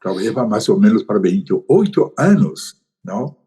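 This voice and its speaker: A man's voice: lowish voice, sing-song, moderate pace, warm tone. An older man, a podcast guest who tells his story hesitantly, with many fillers and tag questions. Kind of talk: conversational storytelling.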